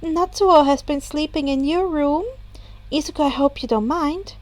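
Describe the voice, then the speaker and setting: A woman voicing a kindly mother in a gentle, polite, slightly flustered manner for a story. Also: high-pitched, moderate pace, dry recording, close to an everyday voice. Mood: explaining. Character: kindly, softly chuckling mother of a teenage boy.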